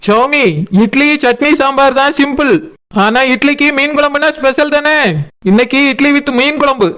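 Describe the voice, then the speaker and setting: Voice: sits high, steady tone, normal speed, a bit bright. A performer voicing a cheeky, stubborn cartoon food-stall seller who hawks and bargains emphatically in a Kanyakumari Tamil accent. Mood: businesslike. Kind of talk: comic cartoon dialogue.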